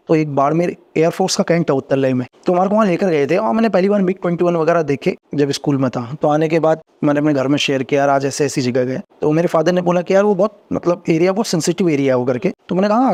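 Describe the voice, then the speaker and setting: Fast-talking man recounting service life. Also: fairly high pitch, darkish tone. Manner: rapid, earnest, repeats phrases, rising energy when explaining. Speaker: podcast guest, former intelligence agent. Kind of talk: casual interview storytelling.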